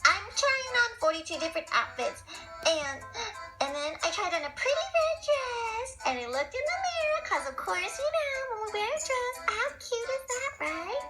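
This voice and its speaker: Camilla, a very high-pitched, excitable schoolgirl. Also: moderate pace, over loud music, low-quality recording. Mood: dramatic, flustered. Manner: gushing, giggly, gasping, theatrical. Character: Camilla, excitable dramatic young schoolgirl who secretly loves lima beans. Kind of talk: animated children's storytelling in character.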